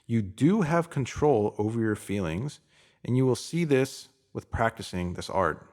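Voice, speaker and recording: Guy narrating a motivational spiritual essay, medium pitch, earnest and kinda teachy. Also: expressive, a little slow, darkish tone.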